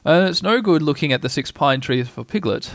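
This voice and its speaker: A man doing a gentle, muddled storybook bear. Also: on the high side, animated, a little fast, no room sound. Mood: puzzled. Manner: bumbling, hesitant, earnestly thinking aloud. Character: slow-witted, gentle, kindly, muddled toy bear.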